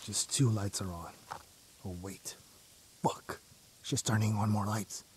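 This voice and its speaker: An actor in an audio drama playing a hardened, commanding rebel gang leader, fiercely loyal and ruthless, with a husky voice. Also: male voice, lowish voice, steady tone, slow, full character voice. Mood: tense, muttering. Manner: commanding, intense. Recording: music under the voice.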